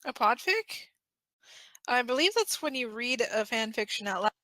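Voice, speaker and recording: Bright, animated, high-voiced woman. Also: moderate pace, no room sound, low-quality recording. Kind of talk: casual joking group chat.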